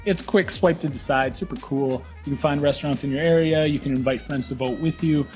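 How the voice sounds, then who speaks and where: A man's voice: on the high side, brisk, a little mellow, soft background music. A man hosting a casual restaurant review show from a small restaurant. He talks in an upbeat, promotional way and repeats words for emphasis. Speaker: podcast host.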